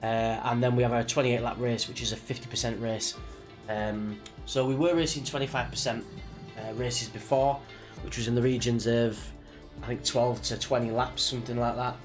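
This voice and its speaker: A British man talks casually to his livestream viewers with music playing behind him. He sounds a little sniffly from a cold. His delivery is chatty and rambling, at a middle pitch. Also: fairly even intonation, moderate pace. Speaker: live streamer, sim racing driver. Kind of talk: casual livestream chat before a race.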